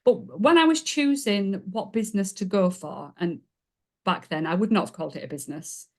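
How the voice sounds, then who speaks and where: A woman's voice: medium pitch, lively intonation, normal speed. A woman in her forties with a Yorkshire accent, guesting on a podcast and telling her story in a chatty, self-deprecating way. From Yorkshire, England. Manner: warm, chatty, self-deprecating, laughs along. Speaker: podcast guest, business coach. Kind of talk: casual interview storytelling.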